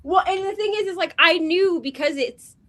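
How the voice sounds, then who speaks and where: A woman co-hosting a casual chat over a video call, an animated storyteller who fills her talk with "like.". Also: very high-pitched, moderate pace. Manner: animated storyteller, heavy on "like" fillers. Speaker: co-host.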